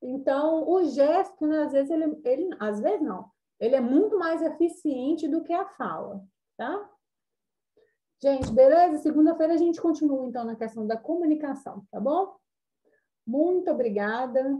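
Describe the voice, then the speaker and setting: Brazilian woman, teacher, high mellow voice, chatty, fillers, video call. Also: animated, moderate pace, lo-fi sound. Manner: chatty, explains with many fillers. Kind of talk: online class lecture.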